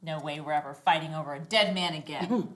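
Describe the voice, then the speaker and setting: Woman playing forceful American mother, bright voice. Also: deep voice, brisk. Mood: earnest, hurt. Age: middle-aged. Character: hard-working, indignant single mother, proud and frank. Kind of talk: live comedy script reading.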